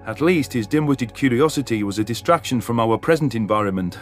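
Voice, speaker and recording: A man narrates a story in the first person from a script. His voice sits at a medium pitch. Music plays clearly behind him. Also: lively intonation, fairly quick. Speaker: audiobook narrator.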